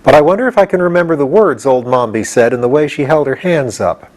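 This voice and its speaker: A man's voice: medium pitch, lively intonation, quick pace, a little mellow, close to an everyday voice, rough recording. A man voicing an earnest, practical young boy in a storybook, explaining things matter-of-factly with a touch of impatience. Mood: musing.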